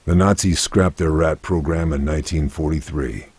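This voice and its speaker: Very deep, husky grave military narrator. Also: male voice, fairly even intonation, moderate pace, a little mellow, dry recording. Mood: grave, solemn. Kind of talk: scripted documentary-style game cutscene narration.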